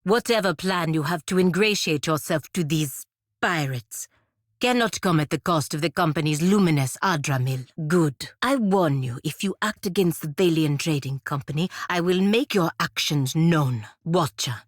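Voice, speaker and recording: Proud fantasy warrior woman, stern patriot. Low, dry-recorded voice, measured and formal game dialogue. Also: no room sound. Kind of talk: scripted video game character dialogue.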